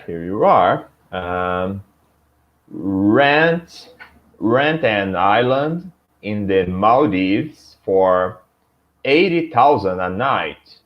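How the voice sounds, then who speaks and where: A man's voice: lowish voice, animated, lo-fi sound. A man from Brazil with a Brazilian Portuguese accent, a student reading vocabulary aloud hesitantly, with restarts and self-corrections. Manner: hesitant, reads aloud haltingly.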